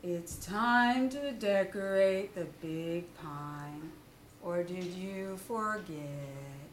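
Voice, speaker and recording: A woman voicing a gloomy, long-suffering male donkey from a storybook, with slow, drawn-out, glum delivery. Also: lowish voice, voice pushed down. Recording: roomy, distant mic, faint background noise.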